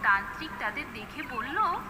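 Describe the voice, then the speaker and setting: Very high, lively woman storyteller. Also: normal speed. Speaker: narrator. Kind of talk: scripted children's cartoon story narration. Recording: echoey, distant, under loud music.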